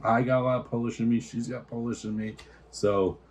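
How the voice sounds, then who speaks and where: A man's voice: mid-range voice, flat delivery, a little fast, a little mellow, slight background noise. A man hosting a casual snack taste-test, reading out product notes and stumbling over foreign names. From United States.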